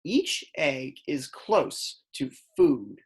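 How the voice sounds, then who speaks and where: Female kindergarten teacher, low register, unhurried read-aloud for small children, deliberate emphasis on key words. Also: very animated, a little mellow, slightly rough.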